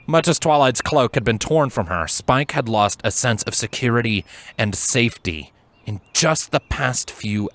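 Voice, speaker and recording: A man reading a story aloud as narrator, in an expressive, measured, dramatic storytelling style. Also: lowish voice, no echo. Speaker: fan fiction narrator. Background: background music.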